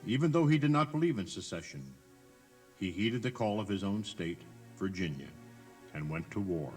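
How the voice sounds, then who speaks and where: Guy narrating a tour tape, really animated and theatrical, bit of music behind him, 80s cassette. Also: lowish voice, normal speed, slightly rough. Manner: theatrical, emphatic storytelling with rhetorical questions. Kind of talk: dramatized scripted narration.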